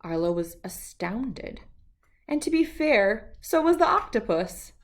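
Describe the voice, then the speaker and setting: Very animated, slow-paced woman storyteller. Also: a little high. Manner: animated, deliberate, emphatic phrasing. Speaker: children's book read-aloud host. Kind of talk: expressive storybook narration.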